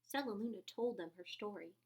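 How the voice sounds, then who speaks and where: A woman reading a children's story aloud with expressive narration. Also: medium pitch, a little fast, rough recording. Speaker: storybook reader.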